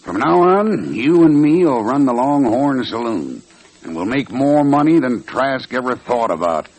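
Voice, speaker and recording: A man's voice: mid-range voice, lively intonation, unhurried, darkish tone. A man playing a cold, bullying saloon villain in an old-time radio western, with tough, folksy, domineering speech. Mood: coldly domineering. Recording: faintly noisy vintage radio broadcast.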